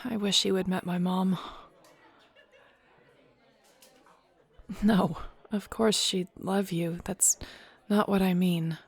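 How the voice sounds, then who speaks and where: A woman's voice: on the low side, fairly even intonation, unhurried, breathy edge. A woman playing a nervous, affectionate young werewolf about to lead her clan, in an audio roleplay. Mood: nervous, tender. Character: nervous young female werewolf shifter becoming clan Alpha, affectionate, teasing. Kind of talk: intimate audio roleplay acting.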